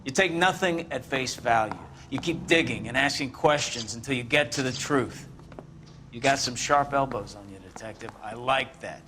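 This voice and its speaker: A brusque American police captain in a detective game, voiced by an actor. His tone is sharp and forceful, his phrasing emphatic, with faint ambient noise behind him. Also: mid-range voice, moderate pace, natural voice. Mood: approving, gruff. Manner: emphatic, authoritative. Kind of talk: dramatic video game acting.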